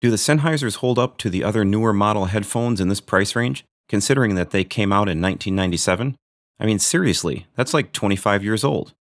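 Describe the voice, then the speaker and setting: Low-voiced man with dry humor. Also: quick pace. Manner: dry, joking asides. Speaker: video host reviewing gear. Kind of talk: scripted review narration.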